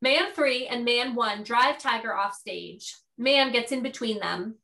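A woman's voice: fairly high pitch, a little slow, creaky, bright, forceful tone, rough recording. A woman reading stage directions as scripted narration for a play.

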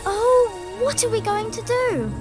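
A woman's voice: extremely high pitch, slow, loud music behind the voice. A woman voicing a clever, anxious, rather bossy young schoolgirl witch in a video game.

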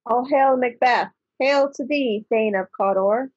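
Woman playing a slow, husky, sinister witch. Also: a little high, darkish tone. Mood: prophesying. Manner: chanting, declaiming verse. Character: sinister female witch hailing and chanting. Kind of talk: amateur Shakespeare read-through. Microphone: video call. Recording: dull lo-fi video-call audio.

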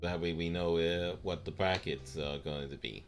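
A young man streaming a game live from his room, talking in halting bursts full of fillers and repeated words. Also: deep voice, steady tone, faint music behind. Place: home room, indoors.

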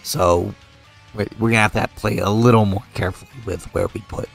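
A man's voice: on the low side, a little fast, vocal fry, soft background music. A man casually commenting on a mobile game as he plays it, thinking aloud. He is losing his voice from being a little sick. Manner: relaxed, thinks aloud with fillers while playing. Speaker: mobile game video creator.

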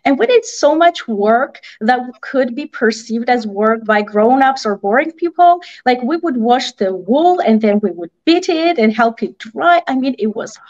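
High-voiced Armenian-accented woman, slightly dull audio. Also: expressive, measured pace, hardly any pauses, a little mellow. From Armenia (Artsakh). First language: Armenian (Artsakh dialect). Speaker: picture book author, interview guest. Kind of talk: conversational interview. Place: indoor room, video call. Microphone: video call microphone.

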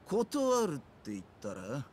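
High, taunting young gangster. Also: male voice, huge pitch swings, slow, a little mellow, slight background noise, exaggerated voice. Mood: mocking. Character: mocking, swaggering young gang punk. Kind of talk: video game cutscene voice acting.

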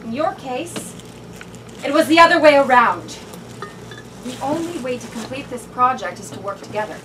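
A woman's voice: sits high, lively intonation, normal speed, a bit bright. Woman doing a stern lady boss, clipped and bossy, voice swoops a lot, live stage, mic far off. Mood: cold. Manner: cool, clipped and commanding. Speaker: stage actor. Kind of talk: live staged reading of a sitcom. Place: small theater stage. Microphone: far camera. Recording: distant mic, noisy room with audience.

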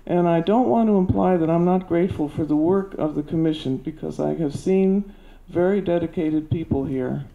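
An older woman with a soft voice giving an earnest, halting public comment at a podium into a handheld mic. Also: on the low side, creaky, phone-quality sound. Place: meeting hall at a podium. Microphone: handheld microphone.

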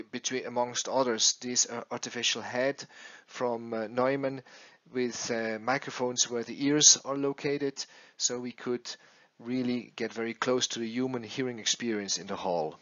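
A man working as an acoustics consultant explains a case study over slides in a halting way, repeating words as he goes. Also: medium pitch, muffled recording. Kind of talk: slide presentation, explanatory narration.

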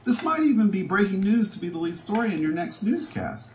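A man's voice: high-pitched, big pitch swings, a little fast. Man acting a scheming mad scientist, wildly swooping voice. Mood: urgent, scheming. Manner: muttering to himself, theatrical, swinging between glee and panic. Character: scheming, foul-mouthed, paranoid male mad scientist, gloating. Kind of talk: audio drama acting, sci-fi monologue. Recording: roomy echo with background noise.

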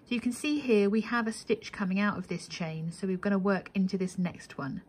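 Husky-edged woman giving craft instructions. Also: mid-range voice, a little fast, a little mellow. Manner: methodical, counting steps aloud. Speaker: crochet tutorial instructor. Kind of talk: step-by-step craft tutorial narration.

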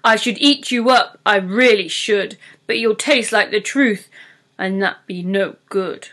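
Woman putting on a gruff, bullying giant troll. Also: mid-range voice, measured pace, fairly bright tone. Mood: cross. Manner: gruff bullying talk with broken rustic grammar. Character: giant brutish greedy boastful male troll.

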